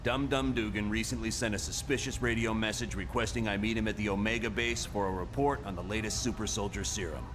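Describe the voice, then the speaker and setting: An actor playing a stern, curt colonel who gives mission briefings in a video game cutscene. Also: male voice, fairly low pitch, steady tone, brisk, hardly any pauses, over music, close to an everyday voice. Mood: stern, businesslike. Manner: curt, authoritative. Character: stern, terse male colonel running a covert agency. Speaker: military intelligence commander giving briefings.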